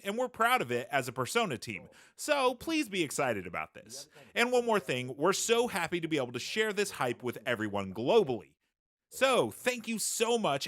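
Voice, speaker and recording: A man interprets in an interview in a fairly high voice with very lively intonation. His delivery is polite and formal, set out as a translated statement.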